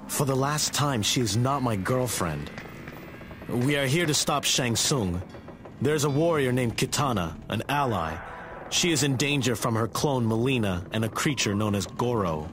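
A man's voice: medium pitch, lively intonation, normal speed, background noise, close to an everyday voice. An actor playing an earnest young male martial arts hero in a video game cutscene, speaking directly.

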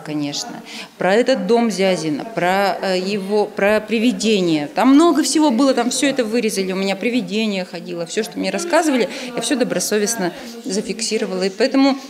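A middle-aged woman giving spontaneous interview answers, often breaking off and repeating words as she goes. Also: medium pitch. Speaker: screenwriter and magazine editor. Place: indoor room with chairs and bookshelves.

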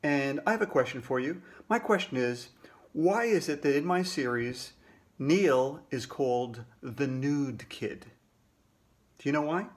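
A man's voice: medium pitch, animated, a little slow. A man in his sixties, a children's author, casually explaining trivia to kids.

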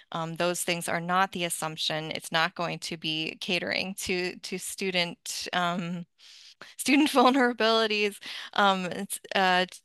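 A woman's voice: on the low side, bright, forceful tone, smooth, no room sound. A woman in her forties, a Russian teacher on an online panel, speaking thoughtfully with frequent fillers and restarts over a headset microphone. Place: home room, video call.

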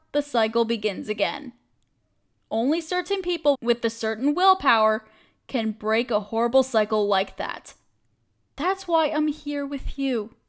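A woman playing a caring, protective best friend in a comforting audio roleplay, speaking earnestly and reassuringly, straight to the listener. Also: high-pitched.

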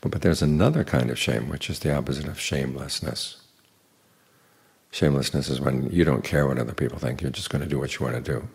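An older man, a Buddhist monk, giving an evening dharma talk in an unhurried, plain teaching manner with dry humor. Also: a little mellow. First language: English. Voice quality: very deep gravelly voice.

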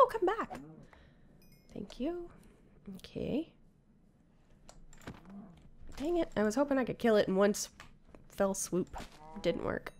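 A woman in her thirties on a headset mic, chatting casually as she plays a game, muttering to herself and scolding the creatures on screen, her voice going hoarse. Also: mid-range voice. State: losing her voice, coughing. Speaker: gamer. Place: home room with bookshelves.

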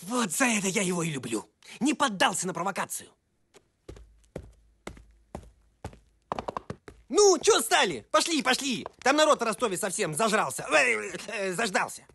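A man voicing a cunning, cowardly talking horse in an animated comedy, chattering in a wheedling, theatrical way. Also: sits high, a little fast, vocal fry, a little hiss or hum, full character voice. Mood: ingratiating. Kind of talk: animated comedy film acting.

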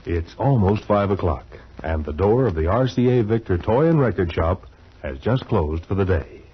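A man with a low, very animated voice delivers scripted 1940s radio announcing in a theatrical style. The recording is muffled, with faint hiss. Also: normal speed.

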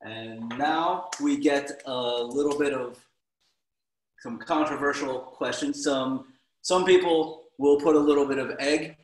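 A man's voice: medium pitch, unhurried, echoey room, slight background noise, low-quality recording. A middle-aged man from the United States explaining a recipe casually on a video call from his home kitchen, with restarts. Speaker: guest cook. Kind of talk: casual cooking demonstration. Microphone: laptop video call.

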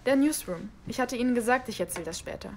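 An actress playing a keen, self-assured storyteller, casual and matter-of-fact, in an audio drama. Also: female voice, a little high, expressive, quick pace, darkish tone, slight background noise. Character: keen, self-assured female storyteller pitching her plot. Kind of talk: naturalistic audio drama acting.

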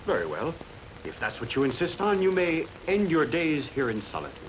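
A man's voice: a little high, huge pitch swings, moderate pace, a little hiss or hum, poor audio. A man playing a middle-aged, domineering, scheming king with imperious menace in a theatrical film dub. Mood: menacing, commanding. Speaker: actor in a fan-dubbed film. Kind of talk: theatrical sword-and-sandal film dubbing.

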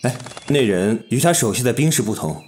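A man's voice: mid-range voice, animated, fairly quick, darkish tone, slight background noise, natural voice. A man playing a polite, level-headed young bandit fighter in a Chinese period audio drama, speaking in a composed, formal way. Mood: admiring report.

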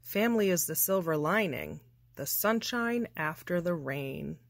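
A woman reading a children's picture book aloud with deliberate, expressive, measured phrasing. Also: fairly low pitch, creaky, slight background noise. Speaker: storybook reader.

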